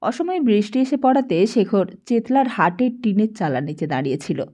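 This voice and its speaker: A woman's voice: medium pitch, big pitch swings, brisk, mellow. Woman narrating an audiobook story.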